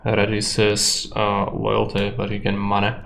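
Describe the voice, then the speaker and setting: A man's voice: on the low side, monotone, takes it slowly, vocal fry, fairly bright tone, a little hiss or hum. A man with a Czech accent casually commenting on his own gameplay as a streamer, musing aloud in a blunt, matter-of-fact way. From Czech Republic.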